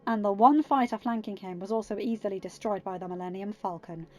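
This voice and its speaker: A woman's voice: mid-range voice, fairly quick. A woman reading scripted fan fiction narration as an audiobook reader.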